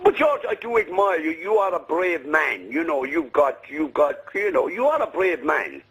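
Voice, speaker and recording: A man's voice: fairly high pitch, big pitch swings, normal speed, a bit bright, husky, low-quality recording. A man from Limerick with an Irish accent phoning in to a radio show, rambling and saying the host's name over and over. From Ireland. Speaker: radio caller. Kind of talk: phone-in call. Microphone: phone.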